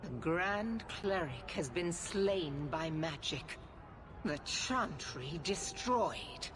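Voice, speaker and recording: Middle-aged female military zealot, fantasy game drama, stern authority, noisy track behind. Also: medium pitch. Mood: cold, commanding. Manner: commanding, severe, formal.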